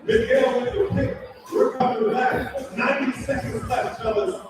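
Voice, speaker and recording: A man doing playful, exclamatory hype commentary as a public-address announcer over an arena loudspeaker. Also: very high voice, very animated, a little slow, distant mic. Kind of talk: hyped courtside commentary over PA. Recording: echoey arena PA heard from afar. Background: loud music, crowd.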